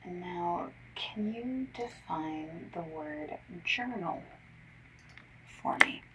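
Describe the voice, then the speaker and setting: A woman doing an ASMR survey-caller roleplay, gently and politely asking questions in breathy soft speech and whispers. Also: fairly low pitch, very animated, extremely slow. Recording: lo-fi, noisy, slightly distant mic. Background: mechanical keyboard typing.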